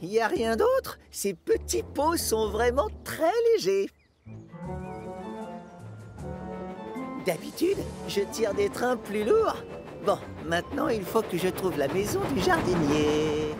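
An actor voicing an eager, easily frustrated young male steam engine in a children's cartoon, expressive and exclamatory. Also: mid-range voice, measured pace, music in the background. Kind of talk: animated children's series dubbing.

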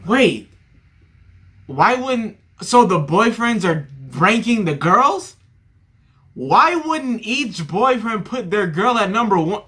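A man's voice: sits high, sing-song, takes it slowly, pushed and bright, husky. A young man giving casual, joking reaction commentary over a video, often repeating himself. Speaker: reaction streamer.

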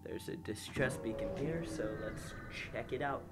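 A young man with a slightly nasal, congested voice, calmly commentating a space game and reading its text aloud while muttering to himself. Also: mid-range voice, a little slow, music in the background. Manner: relaxed, mumbles quietly to himself while playing. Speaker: gameplay host.

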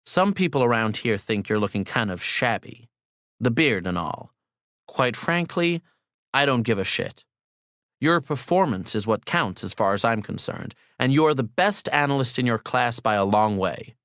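A man playing a blunt, brisk male boss in an audiobook, informal and commanding but supportive. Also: mid-range voice, lively intonation, fairly bright tone, no room sound, studio quality, close to an everyday voice. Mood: blunt, encouraging.

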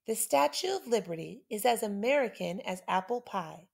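A middle-aged woman reads a children's story aloud like a teacher, with deliberate, dramatic emphasis. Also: medium pitch, expressive, creaky, a little mellow, slightly rough.

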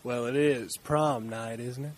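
A man's voice: mid-range voice, steady tone, unhurried, natural voice. A man playing an eerie, courteous stranger in an audio drama, speaking with an insinuating politeness. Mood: sinister politeness. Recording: faint background noise.

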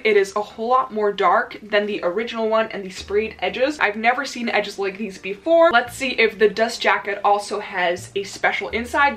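Enthusiastic young Dutch-accented woman vlogger. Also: mid-range voice. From Netherlands. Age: 20s. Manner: enthusiastic, expressive. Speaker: book reviewer, vlogger. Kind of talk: casual book haul vlog. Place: small cosy bedroom, indoors.

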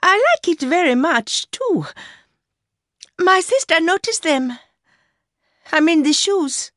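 A woman voicing a timid young woman, meek and hesitant but softly stubborn, in an audiobook story. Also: very high voice, lively intonation, slow pace, no echo, clean studio sound, pitched above the performer's own voice, exaggerated voice. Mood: meek, guarded. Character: timid young woman, rich older man's secret lover. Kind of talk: acted dialogue in audiobook story.

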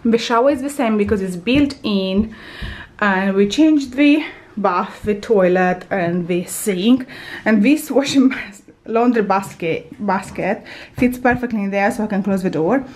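A woman's voice: medium pitch, measured pace, darkish tone. A woman in her thirties casually narrating a tour of her house, chatty and often correcting herself as she walks through the rooms. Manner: chatty, self-correcting, walks while filming. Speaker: vlogger giving a house tour. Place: bathroom and bedroom of a house, indoors. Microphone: handheld camera.

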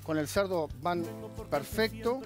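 A man's voice: sits high, measured pace, over music. A man in his thirties from La Plata with an Argentine Spanish accent, a chef explaining his recipe with hesitant restarts in a TV studio kitchen. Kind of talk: cooking demonstration chat.

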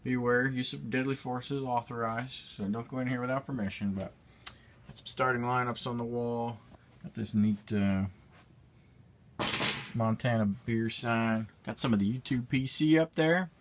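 A man's voice: medium pitch, steady tone, normal speed, slight background noise. A man casually narrating a tour of his collectibles room at home, rambling with frequent fillers, recorded on a handheld phone. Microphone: handheld phone camera.

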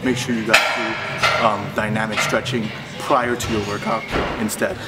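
A man's voice: on the low side, moderate pace, over loud music. Young man vlogging from a phone. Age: young adult. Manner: relaxed, chatty, filler-heavy advice. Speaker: vlogger, university student. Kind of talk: casual vlog talk to camera. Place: restaurant, campus outdoors and gym. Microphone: handheld phone camera at arm's length.

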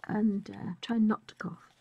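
A woman demonstrating a colouring technique live, a bit croaky from a chest infection, speaking softly and musing, often trailing into whispers. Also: mid-range voice, steady tone, unhurried, dull audio. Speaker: art tutor.

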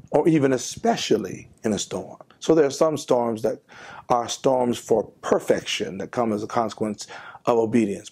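Gravelly, husky pastor preaching a devotion. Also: male voice, mid-range voice, warm tone. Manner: earnest, emphatic preaching with rhetorical questions. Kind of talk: devotional sermon. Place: pastor's office, seated at desk. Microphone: lapel mic.

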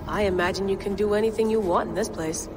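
Casting: a woman voicing a focused explorer in her thirties for a game; clipped, practical lines, loud score behind. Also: medium pitch, steady tone, fast talker, over music, natural voice. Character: determined young woman explorer. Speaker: explorer on a mission.

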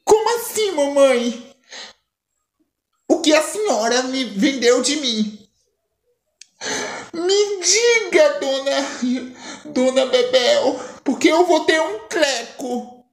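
Woman doing a shrill furious young daughter. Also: sing-song, extremely slow, exaggerated voice. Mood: pleading. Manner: shrill, pleading then raging. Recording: echoey room with faint music.

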